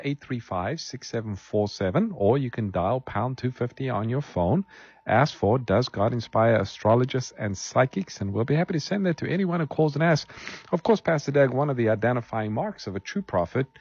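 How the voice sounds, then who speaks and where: A man with a slightly husky voice co-hosting a call-in radio show. He speaks steadily, like an announcer, and the sound is dry and dull. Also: mid-range voice, big pitch swings, a little fast, a little mellow, no room sound. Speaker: radio show co-host pastor.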